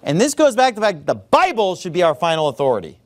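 A man preaching with a high, lively voice that leaps around as he drives each point home. He is sarcastic and emphatic, and he bursts out loud on single words. He sounds like a middle-aged pastor delivering a sermon in a church. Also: fast talker.

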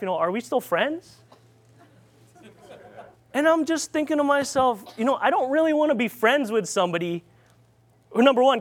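A man from the Philippines with a Filipino accent, preaching in a loose, rambling storytelling way with many fillers and restarts. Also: sits high. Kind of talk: informal sermon storytelling.

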